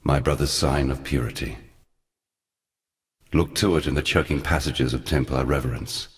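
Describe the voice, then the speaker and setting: A man playing an ancient, forgotten god in a video game, somber and weary, speaking solemnly and deliberately in archaic, portentous phrases. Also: rumbling low, fairly even intonation, in a large hall. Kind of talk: scripted video game character dialogue.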